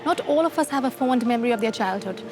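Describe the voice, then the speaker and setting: A woman's voice: on the high side, lively intonation, fast. A woman presenting a TV magazine show, reading scripted narration. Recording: background music under voice.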